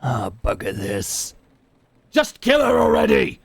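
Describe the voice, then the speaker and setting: A man's voice: medium pitch, huge pitch swings, takes it slowly, no echo, heavily acted. A man playing a crude, menacing leader of medieval hired killers, sneering and boastful in a fantasy audio drama. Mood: impatient. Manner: sneering, boastful, rough colloquial talk.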